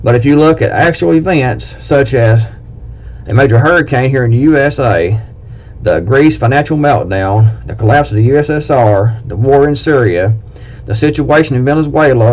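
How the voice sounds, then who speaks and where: Man, Appalachian accent, blunt, plain-spoken, rambling, medium pitch, dull lo-fi sound. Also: darkish tone. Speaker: prepping video host. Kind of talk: casual talk to camera, reading a list. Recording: dull lo-fi recording.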